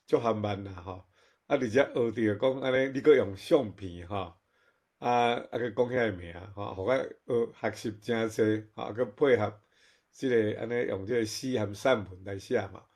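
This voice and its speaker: A man with a Taiwanese accent giving casual comments at an online forum, speaking in a halting, conversational way and repeating himself. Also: mid-range voice, darkish tone. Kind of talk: casual spoken reflection.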